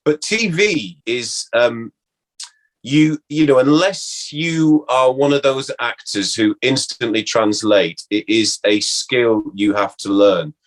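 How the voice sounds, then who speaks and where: British male, middle-aged, medium pitch, halting conversational delivery, repeats words for emphasis. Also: low-quality recording. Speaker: guest former actor. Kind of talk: casual interview chat.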